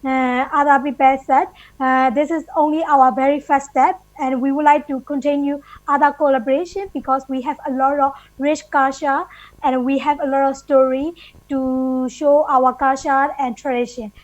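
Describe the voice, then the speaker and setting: A woman's voice: sits high, fairly even intonation, moderate pace, no echo, muffled recording. A woman with a Burmese accent giving an informal thank-you speech, hesitant and searching for words as she goes. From Myanmar. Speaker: guest speaker at a book launch.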